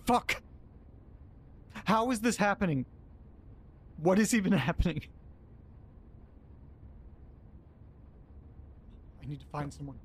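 A man acting in an audio drama as a frightened, hungover man alone in the dark, halting and repeating himself as he swears. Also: sits high, lively intonation, breathy edge. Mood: panicked, calling out.

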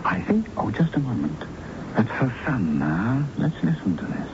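Formal, deliberate archangel, muffled radio. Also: male voice, mid-range voice, sing-song, gravelly, noisy background. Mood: measured, arguing. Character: Archangel Michael, measured, fair-minded heavenly advocate. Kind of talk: radio drama acting. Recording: dull radio broadcast with loud music behind.

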